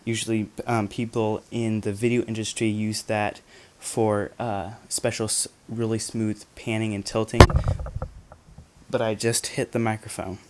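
A man's voice: fairly low pitch, flat delivery, unhurried, background noise. A young man casually reviewing photography gear from his bedroom, talking to the camera with lots of ums and restarts. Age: young adult. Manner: chatty, many ums and restarts.

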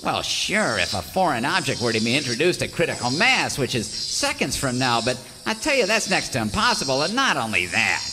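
An actor playing an excitable, scheming, melodramatic villain in a parody old-time Western radio serial, wheedling and stammering. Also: male voice, mid-range voice, brisk, few pauses, noisy background, natural voice. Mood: wheedling, defensive.

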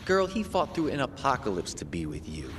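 A man's voice: mid-range voice, wildly swooping pitch, a little fast, noisy background. An actor playing a brash, streetwise young male survivor of a zombie outbreak in a video game, with slangy, flippant delivery. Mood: persuasive. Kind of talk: video game cutscene acting.

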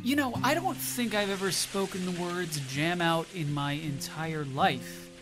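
A man's voice: high-pitched, measured pace, loud music behind the voice. An actor playing a shy, earnest male traveler, awkwardly smitten, in a naturalistic audio drama. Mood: shy, hopeful.

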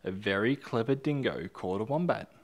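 A man with a fairly low voice narrates a children's storybook. His delivery is expressive and very lively, swinging widely in pitch as he reads aloud. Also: normal speed. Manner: animated, expressive. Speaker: storybook reader.

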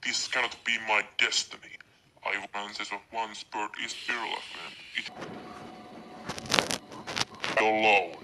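A man voicing a proud, defiant created creature in a solemn, dramatic and deliberate monologue. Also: fairly low pitch, noisy background, lightly acted. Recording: loud music under the voice.

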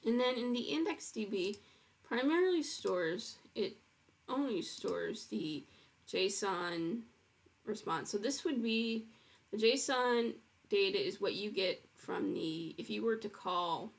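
A woman's voice: mid-range voice, expressive, slow pace, gravelly. A woman walking through her own web project in a casual screen-recorded demo, explaining with frequent ums and restarts.

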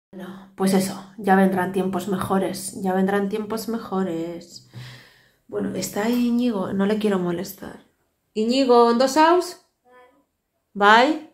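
A woman's voice: mid-range voice, takes it slowly, long pauses. Tired chatty woman, Basque-accented Spanish. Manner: chatty, rambling, weary asides. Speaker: family vlogger. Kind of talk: casual vlog chat. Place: tiled home kitchen, indoors. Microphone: phone camera at arm's length. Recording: echoey tiled kitchen.

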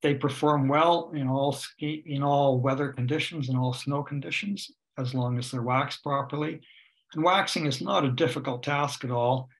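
A man's voice: mid-range voice, fairly even intonation, moderate pace, rough recording. An older man with a Canadian accent, a ski instructor presenting a webinar from home over a video call, explaining steadily with many fillers. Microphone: webcam or computer mic on a video call.

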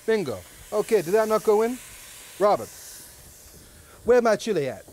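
A man's voice: sits high, very animated, normal speed, a little mellow. A man in his thirties hosting a cooking show at an outdoor grill, chatty and joking as he cooks. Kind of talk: cooking demonstration.

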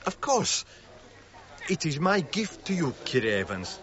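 High-voiced eager Cretan Greek man. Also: big pitch swings, unhurried, a bit bright, highs cut off. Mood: proud, reminiscing. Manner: simple, careful English phrases. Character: eager Greek-speaking Cretan workman, proud and enthusiastic. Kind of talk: radio drama acting.

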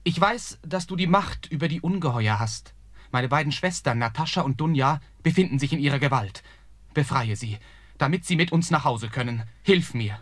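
Brief: an earnest young fairy-tale prince, lively pitch, dry close sound. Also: male voice, moderate pace, no room sound. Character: young prince, brave, clever, gentle suitor. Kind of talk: radio play acting.

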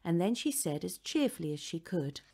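A woman narrates a children's book. Her voice sits a little low, and she reads in a scripted storytelling style. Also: very animated, moderate pace, a little mellow, breathy edge. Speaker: audiobook narrator.

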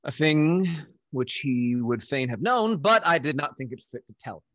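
An actor playing a vain, cynical young bachelor rake in a Restoration stage comedy, haughty and dry, over a video call. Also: male voice, medium pitch, big pitch swings, moderate pace, a bit bright, no echo, muffled recording. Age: young adult.

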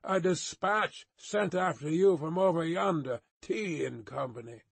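Storybook rider, voiced by a male reader: hoarse, blunt, dogged, raised pitch, drawn-out calls. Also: no echo, exaggerated voice. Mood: blunt, insistent. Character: hoarse horseback messenger shouting through mist, blunt and dogged.

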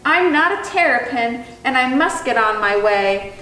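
A woman reads as a little lost storybook turtle with a high, swooping voice. He is plaintive and polite, yet insistent, in a roomy recording with faint noise. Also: animated, measured pace, bright, forceful tone, recorded from a distance. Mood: politely refusing. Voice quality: tiny voice. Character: lost, tearful little baby sea turtle, male. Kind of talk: expressive children's storybook character reading.

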